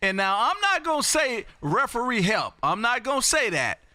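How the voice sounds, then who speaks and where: A loud, exuberant man chatting as an amateur radio livestream host, emphatic and prone to repeating phrases. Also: extremely high pitch, very animated, normal speed, no room sound. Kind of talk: ham radio contact chat. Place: indoor home radio room.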